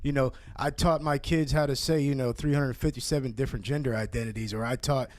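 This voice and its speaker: A man's voice: medium pitch, fairly even intonation, fast talker, no room sound. A man on a talk panel, speaking loosely with lots of fillers like "you know". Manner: loose, filler-heavy storytelling.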